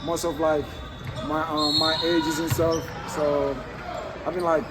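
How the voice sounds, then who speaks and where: A teenage basketball recruit from Senegal, answering interview questions in a halting, casual way, full of fillers. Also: male voice, fairly high pitch, little pitch movement, background noise. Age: teens. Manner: halting, many fillers like um and you know.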